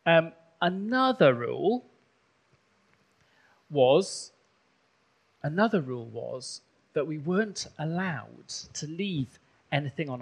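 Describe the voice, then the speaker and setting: A bishop from rural Wales, a native Welsh speaker, preaches in a relaxed, conversational way in a church, often pausing on ums and uhs. His fairly high voice carries a gravelly edge. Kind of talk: sermon.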